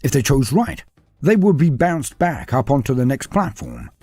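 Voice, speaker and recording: A man narrating a scripted story in a dramatic, animated way. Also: mid-range voice, normal speed, husky, dry recording, faint music behind.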